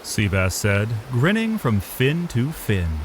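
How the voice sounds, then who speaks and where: A man's voice: mid-range voice, huge pitch swings, takes it slowly, a little hiss or hum. A man narrating a scripted fantasy story in a dramatic style.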